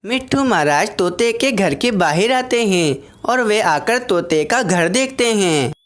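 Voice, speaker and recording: A woman with a mid-range voice narrates a children's animated tale. Her delivery is scripted storytelling, as the narrator of a simple story. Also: mid-range voice, measured pace.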